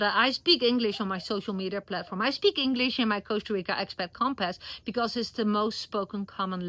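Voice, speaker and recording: A woman's voice: mid-range voice, fairly bright tone, no echo, low-quality recording. A middle-aged woman from the Netherlands, a native Dutch speaker with a Dutch accent, giving earnest, encouraging advice in a casual vlog with loose non-native phrasing. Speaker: expat vlogger.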